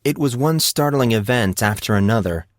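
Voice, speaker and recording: A male audiobook narrator delivers dramatic scripted fiction at a middling pitch. The sound is dry and close, with very little room around the voice. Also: animated, normal speed, no room sound.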